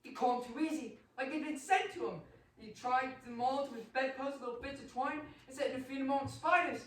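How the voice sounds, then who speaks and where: A woman playing a superstitious, deferential working-class asylum attendant, colloquial and animated, in a radio play. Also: sits high, fairly quick, natural voice. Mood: relishing, spooked. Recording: very echoey room, distant mic, faint noise.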